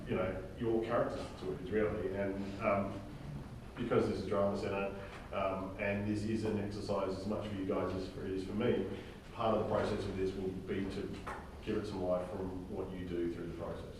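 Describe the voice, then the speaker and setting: A man informally briefing a group of actors as their director, halting as he goes and often checking in with "okay?". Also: low-pitched, level tone. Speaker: director and writer leading a drama table read. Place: reverberant indoor room, recorded from far off. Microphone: distant room microphone. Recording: very echoey room, distant mic, faint noise.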